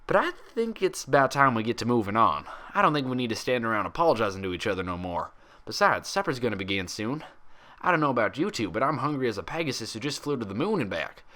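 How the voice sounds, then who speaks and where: A sensible country mare from a cartoon, played by a man reading aloud. She is folksy and plainspoken, motherly in manner, with a lively rise and fall. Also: mid-range voice, fast talker. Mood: warm, brisk.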